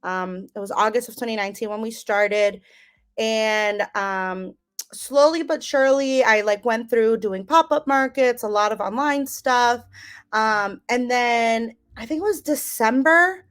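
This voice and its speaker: A young woman from the United States telling her life story as an interview guest, relaxed and rambling with lots of ums. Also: a little high, a little slow, clean tone. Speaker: guest, business owner.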